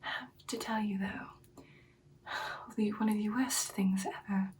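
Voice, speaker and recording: A woman playing an ancient, confident female vampire girlfriend in an ASMR roleplay, whispering breathily in an intimate, unhurried, matter-of-fact way. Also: mid-range voice, fairly even intonation, darkish tone, breathy edge, distant mic. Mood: softly confident.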